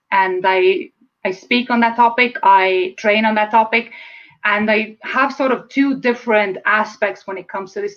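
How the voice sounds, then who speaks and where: A woman in her forties with an Estonian accent, speaking as an interview guest in a measured, reflective way, slowing to stress key words. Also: medium pitch, fairly bright tone. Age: 40s. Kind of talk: casual interview chat. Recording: slightly dull lo-fi sound.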